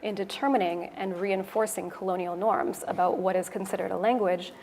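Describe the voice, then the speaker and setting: A woman from Toronto with a Canadian English accent gives a prepared conference talk in a clear, measured, explanatory way. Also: mid-range voice, steady tone, darkish tone. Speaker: conference speaker, web developer. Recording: echoey hall, noisy room ambience.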